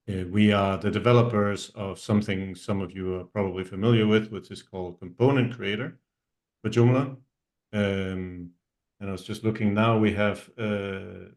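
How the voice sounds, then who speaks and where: A middle-aged Danish man, not speaking his first language, introduces himself and his web agency on a virtual meetup call, with many ums and restarts. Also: lowish voice. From Denmark. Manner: halting, many ums and restarts, trailing off quietly. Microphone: video call.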